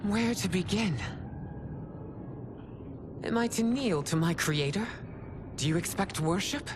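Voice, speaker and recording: An actress playing a proud, imperious empress in a video game cutscene, speaking in a regal, commanding and haughty way. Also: female voice, medium pitch, lively intonation, slow pace, noisy background. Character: proud, imperious woman empress ruling a realm. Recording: loud orchestral score underneath.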